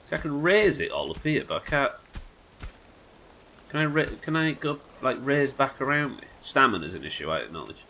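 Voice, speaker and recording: A man with a nasal voice, a little hoarse from illness, chatting wryly and swearing casually while streaming a game live. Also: mid-range voice, very animated, moderate pace, pushed and bright, slight background noise. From United Kingdom. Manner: chatty, wry, swears casually, muttering asides. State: sick, slightly hoarse.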